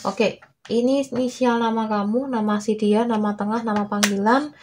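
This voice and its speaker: A young woman with a cold, her voice small and hoarse. She talks her tarot viewers through it in a soft, reassuring tone, drawing out her words as she goes. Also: on the high side, fairly even intonation, moderate pace, background noise. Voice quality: weak, small voice. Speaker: tarot reader. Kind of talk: casual tarot reading.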